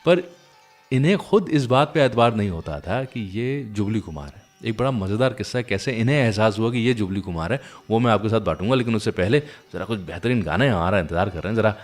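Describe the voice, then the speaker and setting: Male radio host, low voice, conversational storyteller, chatty and anecdotal, with faint music underneath. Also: animated, fairly quick. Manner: chatty, anecdotal, addresses listeners directly. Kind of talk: conversational storytelling presentation of classic film songs.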